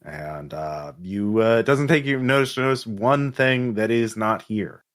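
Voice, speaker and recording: Low-voiced man running a tabletop game. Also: fairly bright tone. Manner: halting, pauses mid-sentence. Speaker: game master. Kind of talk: improvised tabletop game narration.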